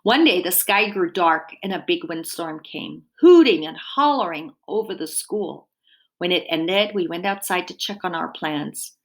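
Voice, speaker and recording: A woman's voice: fairly low pitch, expressive, unhurried. A woman reading a children's book aloud expressively, with simple explanatory asides as if to young children.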